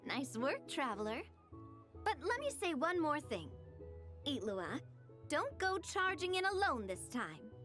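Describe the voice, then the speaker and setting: A friendly female adventurer who keeps an eye on her companions in a fantasy game. Her voice is very high and lively, with music playing behind. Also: a little slow, heavily acted. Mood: approving, cautioning. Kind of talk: video game voice acting.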